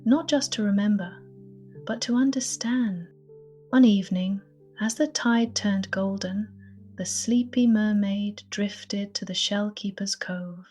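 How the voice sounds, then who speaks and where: Woman reading sleepy bedtime tales real slow, soft and half whispered, faint music under her. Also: mid-range voice. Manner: gentle, soothing, partly whispered. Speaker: bedtime story narrator. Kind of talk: soft, hushed bedtime story narration.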